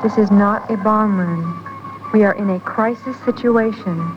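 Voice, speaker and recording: A calm female computer voice from a science fiction film, acted by a woman. It speaks politely and evenly, as an announcement. The sound is muffled, with clear music behind it. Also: mid-range voice, measured pace, natural voice. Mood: calm warning. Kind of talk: English-language science fiction film dialogue.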